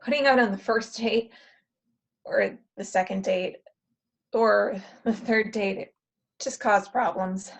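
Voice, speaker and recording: A woman playing a bossy, exasperated matchmaker in a comedy play table read, brisk and sardonic as she gives orders. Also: medium pitch.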